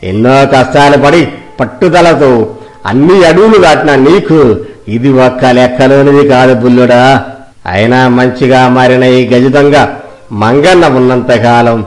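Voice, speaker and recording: A man's voice: mid-range voice, moderate pace, natural voice, poor audio. A man playing a boisterous, loyal reformed bandit in a theatrical storybook tale, scolding and rallying with protective force. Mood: encouraging. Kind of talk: dramatic children's storybook acting.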